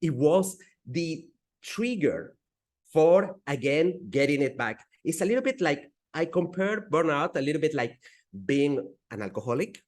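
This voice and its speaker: A man in his forties from Peru with a Spanish accent, speaking as a guest in an interview, with frequent restarts and halting phrasing. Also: a little high, animated. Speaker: guest entrepreneur. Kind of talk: conversational interview.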